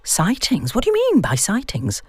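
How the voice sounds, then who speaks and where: A woman's voice: a little high, huge pitch swings, moderate pace, darkish tone, breathy edge, dry recording, voice pushed up, full character voice. A woman voicing an uneasy middle-aged woman, curious and wary, in an audiobook story. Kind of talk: audiobook dialogue.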